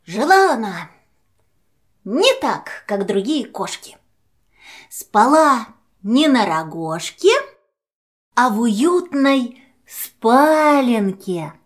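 A woman storyteller narrating a rhymed fairy tale for children in a measured way, with deliberate pauses between phrases. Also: fairly high pitch.

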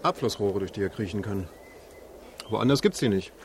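Offhand, knowing cop for radio drama, slightly low voice, faint outdoor noise behind. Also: male voice, lively intonation, moderate pace. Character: casual, knowing male policeman, unimpressed. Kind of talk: radio play acting.

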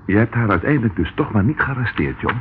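An actor playing a methodical pipe-smoking police detective who explains things calmly. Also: male voice, fairly low pitch, fast, natural voice. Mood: matter-of-fact. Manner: calm, explanatory. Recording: muffled old radio recording with hiss.

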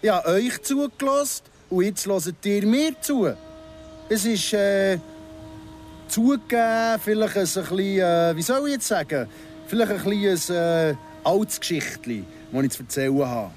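A man's voice: high-pitched, lively intonation, measured pace, darkish tone, faint music behind. An actor playing a needling, falsely courteous ex-convict in his thirties in a radio drama, speaking with a Swiss German accent, mock-polite and insistent. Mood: agitated, insistent. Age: 30s.

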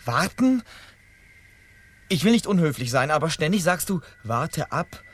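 Young questing hero for radio play: fairly high male voice, earnest, impatient, insistent. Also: very animated, unhurried, fairly bright tone, husky, heavily acted. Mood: frustrated.

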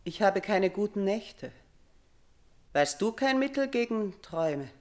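An actress playing a tormented, superstitious middle-aged queen in a radio play, declaiming theatrically and swinging between hushed dread and outcry. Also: medium pitch, very animated, a little slow. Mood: longing, weary. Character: tormented ageing mother and queen, haunted by nightmares, superstitious, imperious.

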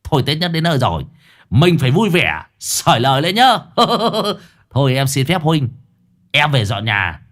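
A man's voice: mid-range voice, big pitch swings, normal speed, fairly bright tone, voice pushed up. A man with a Northern Vietnamese accent voicing a smug, glib middle-aged village man who teases as he talks. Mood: smug teasing. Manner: teasing, glib, laughing.

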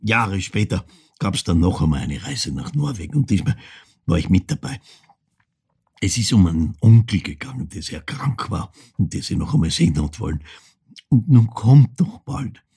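An aging son in a radio play, tender and haunted, telling of his mother. The voice is low and very husky, with a gravelly creak. He speaks intimately and haltingly, sometimes sinking toward a whisper. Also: very animated, mellow, heavily acted. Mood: wistful, reflective. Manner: halting, emotive, drops into whispers. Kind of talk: intimate first-person radio drama monologue, storytelling.

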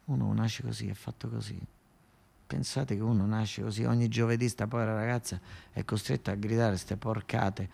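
A man's voice: on the low side, vocal fry, darkish tone, slightly rough. A man with a southern Italian accent performing a colloquial, satirical storytelling monologue, earthy and sardonic, with emphatic repetition and asides to his listeners.

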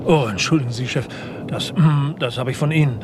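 A man's voice: mid-range voice, a little fast, lightly acted. An actor playing an eager, deferential yet grumbling detective's assistant in a 1960s German radio crime drama, often hesitating as he thinks aloud. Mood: curious. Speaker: detective's subordinate. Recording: noisy old radio play recording.

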